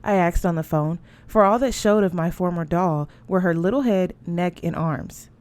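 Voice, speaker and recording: A woman reading a novel aloud, narrating and giving the characters lively voices that rise high in their dialogue. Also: moderate pace. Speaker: audiobook reader.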